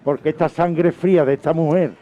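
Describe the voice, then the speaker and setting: A man's voice: a little high, fairly even intonation, a little fast. A man chatting casually as a radio host. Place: radio studio. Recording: dull lo-fi sound, music behind.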